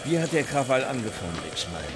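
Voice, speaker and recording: An actor playing a hard-boiled police detective who questions people tersely and threateningly in a radio crime drama. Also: male voice, mid-range voice, very animated, quick pace, over loud music, lightly acted. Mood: probing.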